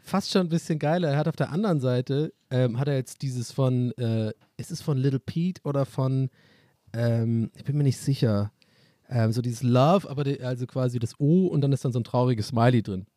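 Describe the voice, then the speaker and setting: A man's voice: medium pitch, normal speed, dark tone, no room sound, studio quality. A man co-hosting a casual podcast, joking and rambling with frequent laughter. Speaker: podcast co-host. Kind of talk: casual chat.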